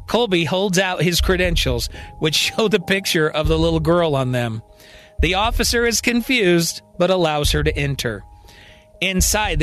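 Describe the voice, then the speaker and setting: Higher-voiced male storyteller over soft music. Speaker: storyteller, podcast writer and performer. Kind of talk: scripted dramatic narration.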